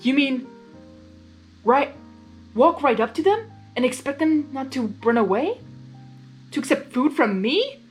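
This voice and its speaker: Storybook chaos creature, male, played by a woman: reluctant, grumbling, loud and theatrical, faint music underneath. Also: high-pitched, very animated, measured pace, long pauses, pitched above the performer's own voice. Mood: incredulous. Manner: exasperated, blustering.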